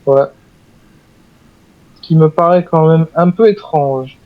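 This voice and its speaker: A man's voice: on the high side, steady tone, takes it slowly, no room sound, narrow, tinny audio. A man talking in character as the last survivor of a military squad, with mock-formal turns, in a game roleplay. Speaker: player in a zombie survival roleplay. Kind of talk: in-character gaming roleplay chat.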